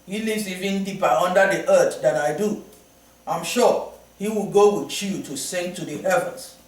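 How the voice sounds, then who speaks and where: A man's voice: high voice, normal speed, close to an everyday voice. A man voicing a lazy, dismissive mongoose in a plain, offhand way. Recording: very echoey room, from far off.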